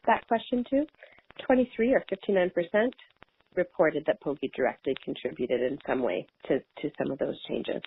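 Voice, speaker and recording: A woman speaks over a narrow phone connection with faint noise on the line. She presents report findings informally, like a consultant on a conference call. Her delivery is halting, with words often repeated and rephrased. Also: fairly low pitch. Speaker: evaluation consultant presenting results. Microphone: telephone on a conference call.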